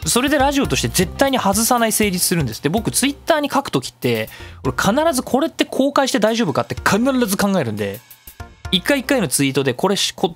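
A man's voice: sits high, a bit bright. A man hosting a casual solo radio talk, chatty and emphatic as he explains things step by step. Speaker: radio show host. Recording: music behind the voice. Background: background music.

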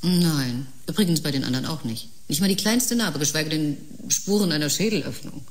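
An actress playing a grave, composed woman doctor in an audio drama, speaking in a measured, clinical way. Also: deep voice, expressive. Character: grave, composed female doctor briefing colleague.

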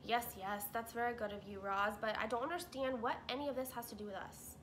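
A woman voicing a stubborn, gruff male beaver who grumbles and speaks bluntly in a folksy way. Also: a little high, a little fast, a bit bright, a little hiss or hum, close to an everyday voice.

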